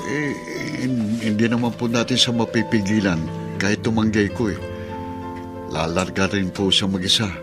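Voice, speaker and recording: A man's voice: lowish voice, steady tone, normal speed, natural voice. An actor playing an apologetic, worried young man in a Filipino radio drama, pleading and hesitant. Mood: respectful, worried. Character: apologetic, worried young man, put-upon loyal cousin. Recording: music under the dialogue.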